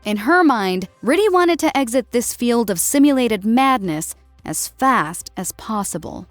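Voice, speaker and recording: A woman's voice: fairly high pitch, animated, unhurried, no echo, faint music behind. A woman reading scripted story narration dramatically as an audiobook narrator.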